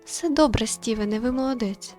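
A woman's voice: fairly high pitch, a little fast, dark tone, soft background music, close to an everyday voice. A woman voicing a composed, courteous female spirit medium who speaks reassuringly in a visual novel. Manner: polite, reassuring. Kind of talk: visual novel read-aloud.

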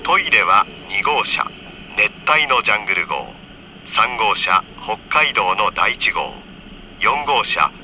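A man reading a scripted onboard train announcement for children in friendly, polite, clearly enunciated phrases, heard over a train PA. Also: on the low side, sing-song, slow pace, bright, forceful tone. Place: train car, over the PA system. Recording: dull train PA with faint noise.

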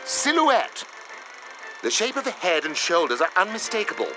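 A pompous narrator of a parody wartime instructional film. His pitch is high and leaps about as he delivers crisp, drily mocking advice. Music runs underneath. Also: big pitch swings, normal speed, poor audio. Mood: instructive. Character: pompous army training film narrator, male.

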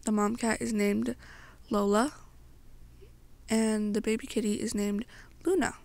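A young woman narrating an ASMR story to the listener in a halting way, pausing between short phrases. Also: mid-range voice, level tone, gravelly, darkish tone, no room sound. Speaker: amateur roleplay narrator.

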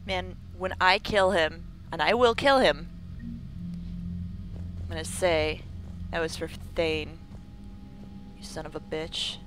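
A young woman giving casual, jokey let's-play commentary close to her mic, with a low morning voice. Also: steady tone, slow, pauses often, music in the background. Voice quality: lower morning voice. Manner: chatty, jokey, swears, laughs a lot. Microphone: close desk mic.

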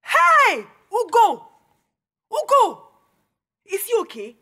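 An actress playing an indignant young student with a Nigerian accent, sharp-tongued and confrontational in a radio drama. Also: female voice, very high voice, huge pitch swings, takes it slowly, full character voice. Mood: panicked. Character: feisty, indignant young female student standing her ground.

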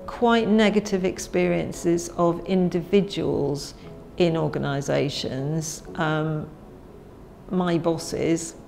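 Middle-aged woman, British accent, lowish creaky voice, measured interview delivery. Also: a little mellow. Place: indoor office meeting room. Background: music.

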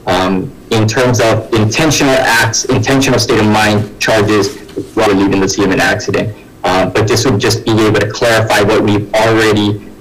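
A man's voice: on the low side, rough recording. A man, a deputy prosecutor giving formal testimony over a video call from an office, explaining with many fillers. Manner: hesitant, filler-heavy legal explanation.

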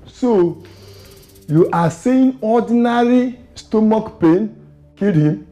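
A man's voice: sits high, expressive, slow, dark tone, soft background music. An actor playing a blunt, suspicious male relative. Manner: blunt, doubting.

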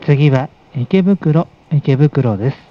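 A man making formal, unhurried announcements as a train conductor. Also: fairly high pitch, animated. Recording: dull recording over train speakers.

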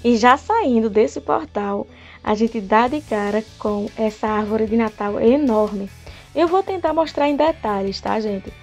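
A chatty woman vlogger talks straight to her viewers in a slightly raised, somewhat hoarse voice. She records on a phone held at arm's length in an outdoor park at night. Soft music plays in the background. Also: on the high side, unhurried. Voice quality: hoarse at times. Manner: chatty, addresses viewers directly. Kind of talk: casual vlog tour narration. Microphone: phone camera at arm's length.

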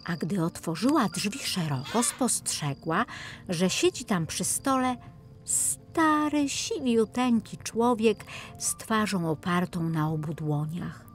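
Casting: female fairy-tale audiobook narrator, unhurried, slightly low, creaky voice, faint music. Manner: measured, storytelling.

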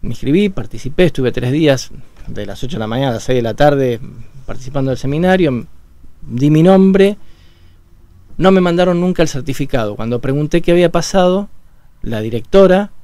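A man from Buenos Aires with a Rioplatense Argentine accent, a guest on a radio interview, explaining things in a measured way with frequent pauses and filler sounds, recorded in a radio studio. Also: fairly high pitch, animated, mellow, no echo. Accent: Argentine Rioplatense Spanish.

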